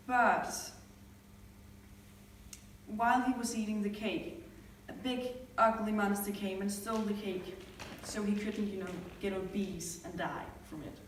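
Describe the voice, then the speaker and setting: Woman casually spinning a tale, distant mic. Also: medium pitch. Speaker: storyteller in a storytelling exercise. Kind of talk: casual, joking improvised storytelling. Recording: very echoey room from far off, faint noise.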